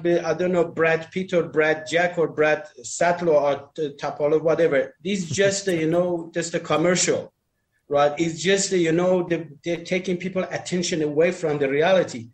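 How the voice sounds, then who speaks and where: A man's voice: fairly high pitch, steady tone, moderate pace. A man who speaks English as a second language, chatting casually and giving advice in a rambling, emphatic way with repeated phrases. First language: not English. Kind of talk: casual group chat, giving dating advice.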